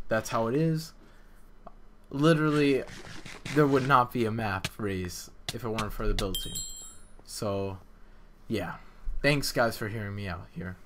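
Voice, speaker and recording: Noticeable noise sits behind a man with a mid-pitched voice. He comments casually on gameplay like a developer showing his work. His delivery wanders and hesitates, with a self-deprecating streak. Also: a bit bright. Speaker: map developer narrating a dev vlog.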